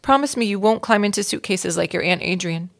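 A woman's voice: mid-range voice, lively intonation, a little fast, creaky. A woman voicing an anxious mother mouse in a storybook, pleading and fretting dramatically. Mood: alarmed, pleading.

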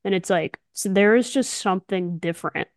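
A woman's voice: medium pitch, moderate pace, dry recording, studio quality. A young woman co-hosts a casual podcast, talking in an enthusiastic, emphatic, slangy way. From Alabama, United States. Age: young adult. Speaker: podcast co-host, softball player.

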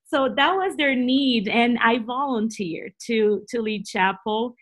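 A woman's voice: a little high, takes it slowly, a bit bright. A woman from Brazil, a native Portuguese speaker with a Brazilian accent, telling personal stories expressively as an interview guest, often repeating herself as she goes. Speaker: interview guest, Bible teacher. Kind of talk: personal storytelling in a conversational interview.

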